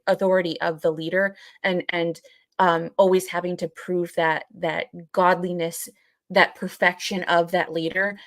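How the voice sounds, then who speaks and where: Casting: female podcast co-host, lowish and even-toned, explains haltingly with repeated phrases. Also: dry recording. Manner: halting, repetitive as thoughts are worked out. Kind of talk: explanatory discussion.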